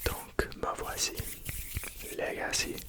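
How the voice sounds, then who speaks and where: Young Franco-Ontarian man, unhurried ASMR whisper, soft and gentle throughout. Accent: Franco-Ontarian French. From Ontario, Canada. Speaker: ASMR creator.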